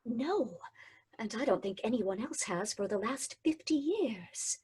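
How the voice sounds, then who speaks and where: Breathy, animated young heroine, a woman in the role, slightly high, radio play. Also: measured pace, full character voice. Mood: wry. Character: spirited young female companion of the hero. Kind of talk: old-time radio drama acting.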